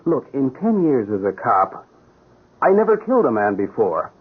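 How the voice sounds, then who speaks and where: A husky, troubled police sergeant. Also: male voice, mid-range voice, very animated, normal speed. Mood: troubled, defensive. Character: earnest, troubled police sergeant, ten years on the force. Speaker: actor in a radio mystery drama. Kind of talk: 1970s-style radio drama acting. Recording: tinny, band-limited old radio broadcast.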